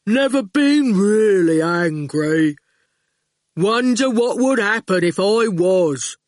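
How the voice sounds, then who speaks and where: A woman voicing a huge, sly male thug who talks slowly and calmly in clipped phrases. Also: medium pitch, no echo, clean studio sound, pitched above the performer's own voice. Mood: menacing calm. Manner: clipped speech that drops its subjects, placid and slow. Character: huge sly menacing male thug, calm and slow-witted.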